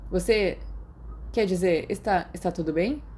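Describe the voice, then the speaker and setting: A woman's voice: fairly low pitch, unhurried, mellow, slight background noise, close to an everyday voice. A woman voicing a confident, sociable teenage schoolboy, an eager ringleader, in an audiobook with a casual, upbeat delivery. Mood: concerned.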